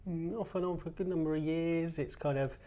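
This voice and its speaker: A man's voice: fairly high pitch, fairly even intonation, fairly quick, dark tone. A man hosting a casual interview, chatty and rambling, with lots of small agreeing sounds. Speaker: interview host.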